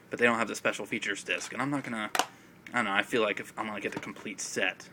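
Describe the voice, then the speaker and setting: A man talks casually about films with a raspy voice and an occasional cough, rambling with many fillers. His voice sits low and fairly flat in pitch, with a bright, forward edge. A faint noise runs behind him on a phone recording. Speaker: film vlogger. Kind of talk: casual video chat.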